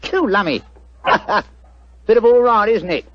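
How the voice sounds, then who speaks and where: An actor playing a jovial, insistent Cockney furniture remover full of slang, in 1940s radio style. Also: male voice, sits high, slow, noisy background. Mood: jovial, coaxing. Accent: Cockney English. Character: Holmes disguised as a chatty Cockney furniture remover. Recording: dull old radio recording.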